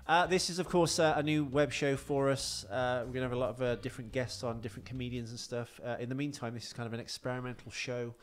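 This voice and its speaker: A man's voice: mid-range voice, steady tone, fast talker, few pauses. Man bantering as podcast co-host. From England. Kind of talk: casual bantering podcast chat. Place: small indoor garage-style podcast studio. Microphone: close microphone on boom arm.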